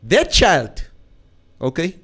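A man's voice: fairly high pitch, huge pitch swings, takes it slowly, a bit bright. A man in casual conversation, challenging his partner with questions and telling stories to make his point. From Namibia. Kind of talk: casual debate, mixing English and Afrikaans.

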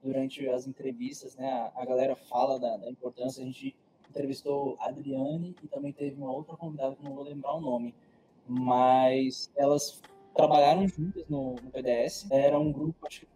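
A man's voice: mid-range voice, steady tone, normal speed, mellow, a little hiss or hum, rough recording. A young man, co-hosting a casual podcast chat and explaining things in loose, meandering sentences with many restarts. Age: young adult. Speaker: co-host.

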